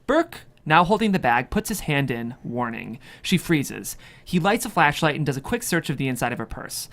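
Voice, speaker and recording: A narrator delivers scripted stage directions from a thriller screenplay. The voice sits at a middling pitch and carries the action as dramatic narration. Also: sing-song, normal speed, fairly bright tone.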